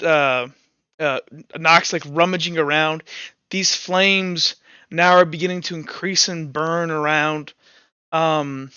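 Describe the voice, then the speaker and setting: Male game master, high clear voice, informal and halting narration, slightly dull dry recording. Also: pushed and bright, no echo. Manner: halting, informal delivery with many "like"s. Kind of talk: improvised tabletop roleplay narration; casual chat with players.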